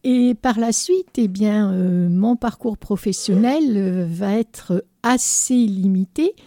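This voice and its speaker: Retired woman guest, sixties, very dark mellow tone, chatty with fillers. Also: medium pitch, slow, no echo, studio quality. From Landes, France. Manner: plain, chatty storytelling with fillers. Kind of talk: casual radio interview. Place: radio studio.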